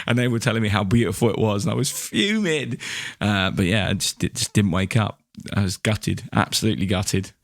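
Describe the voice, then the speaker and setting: A man's voice: on the low side, animated, fairly quick, gravelly, dry recording. A man with a British accent, co-hosting a podcast in casual chat, enthusiastic and given to emphatic repetition. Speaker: podcast co-host.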